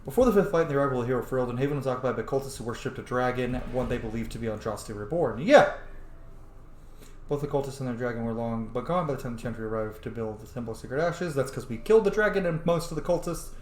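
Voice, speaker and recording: Animated man chatting through a game, roomy sound. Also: medium pitch, fast. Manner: chatty, opinionated, reacting aloud. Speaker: video game streamer and roleplayer. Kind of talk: casual game commentary, reading game text aloud. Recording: roomy sound with faint background noise.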